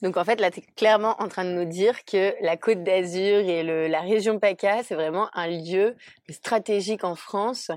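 A woman's voice: mid-range voice, normal speed, hardly any pauses, a little mellow, dry recording, studio quality. A woman hosting a podcast, asking questions and giving short acknowledgments. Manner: brief prompts and frequent acknowledgments. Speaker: podcast host. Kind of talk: podcast interview.

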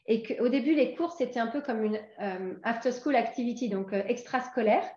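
A woman in her forties from France, a guest talking casually in an interview and pausing often with fillers and restarts. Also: fairly high pitch, a little mellow, roomy sound, lo-fi sound. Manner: hesitant, many fillers and restarts.

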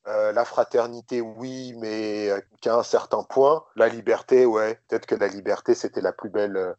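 A man guesting on a podcast, speaking in a reflective, thoughtful way with frequent pauses and fillers. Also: on the low side, steady tone, a little mellow, no room sound, muffled recording. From France. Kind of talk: reflective interview talk.

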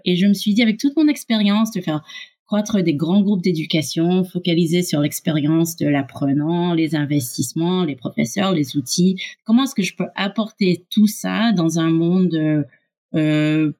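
A woman's voice: sits low, a little mellow. A middle-aged woman with a North American accent, a startup co-founder speaking as an interview guest and explaining at length with frequent fillers. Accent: North American English. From Canada and USA. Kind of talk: podcast interview.

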